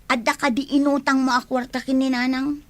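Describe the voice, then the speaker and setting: A woman's voice: high voice, flat delivery, brisk, no room sound, natural voice. A woman acting an exasperated village woman in an Ilocano radio family comedy, with an Ilocano accent. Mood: chiding.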